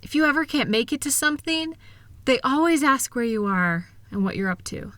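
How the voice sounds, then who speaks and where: A woman playing a loving, reassuring wife in an intimate comfort roleplay, speaking in a gentle, soothing, affectionate way. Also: high voice, animated, normal speed, dry recording. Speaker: audio roleplay voice performer.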